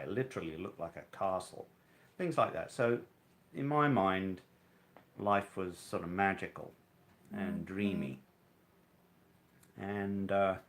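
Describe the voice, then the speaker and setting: A man's voice: sits low, creaky. A man in his sixties casually reminiscing, telling his story in a reflective, hesitant, rambling way. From Wales, then London from age eight. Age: 60s. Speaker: interviewee. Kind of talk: casual reminiscing about childhood.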